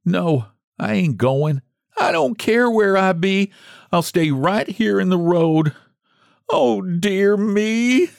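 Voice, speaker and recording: A male narrator puts on an older rural mother, helpless and stubborn in her despair. The pitch climbs high and swoops around as the voice wails and repeats its laments in storybook country dialect. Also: animated, takes it slowly, vocal fry, no room sound, clean studio sound, heavily acted. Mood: wailing despair. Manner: repetitive lamenting in rustic dialect.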